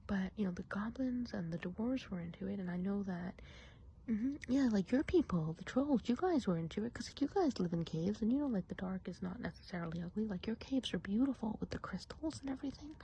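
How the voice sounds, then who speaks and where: A woman voicing a chatty woodland witch in an ASMR roleplay, whispering softly and breathily in a gossipy, confiding way. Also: mid-range voice, expressive, brisk, husky. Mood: chatty, enthusiastic. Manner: gossipy, confiding, hesitant casual phrasing. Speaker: ASMR performer.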